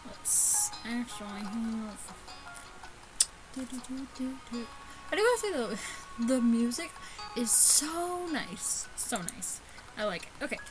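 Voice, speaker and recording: A woman's voice: on the high side, rough recording. A woman hosting a gaming video with casual, chatty let's-play commentary, stumbling over words as she reads aloud. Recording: music behind the voice.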